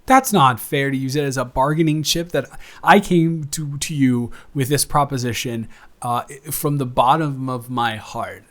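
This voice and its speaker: A man's voice: mid-range voice, a little slow, a bit bright, lightly acted. A man playing a shy, affectionate roommate in an improvised intimate audio roleplay, speaking in a hesitant, rambling way with stammers. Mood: mock-indignant. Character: shy, affectionate male roommate confessing to best friend.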